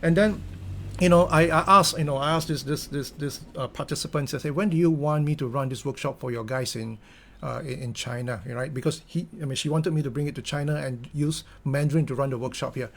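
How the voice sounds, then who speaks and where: A man's voice: on the high side, steady tone, brisk, vocal fry. A middle-aged Singaporean man with a Singaporean English accent, giving an earnest motivational talk full of fillers and restarts. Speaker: company founder giving a talk.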